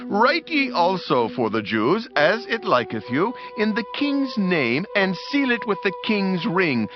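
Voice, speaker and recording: A man's voice: high voice, huge pitch swings, unhurried, exaggerated voice. An actor playing a commanding, stately ancient king in a dramatized scripture audiobook, with a declamatory, regal delivery. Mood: regal, decisive. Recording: muffled sound under music.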